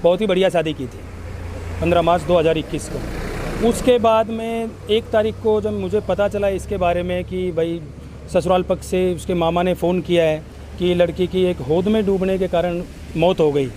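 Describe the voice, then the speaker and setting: High-voiced man in interview. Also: fairly even intonation, normal speed, dark tone, a little hiss or hum. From Haryana, India. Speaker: villager interviewed by local news. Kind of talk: on-camera news interview testimony.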